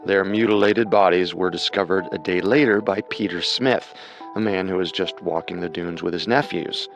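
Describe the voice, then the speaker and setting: Low-voiced male true crime narrator. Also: normal speed, soft background music. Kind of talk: scripted dramatic narration.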